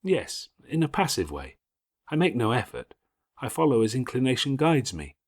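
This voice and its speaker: A patient, devout older schoolgirl from a classic storybook, voiced by a man. The voice is slightly husky with expressive rises and falls, and it preaches forgiveness in measured, formal language. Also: mid-range voice, pitched above the performer's own voice. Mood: mild, modest. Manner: measured, gently preaching, formal Victorian diction. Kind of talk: audiobook character acting.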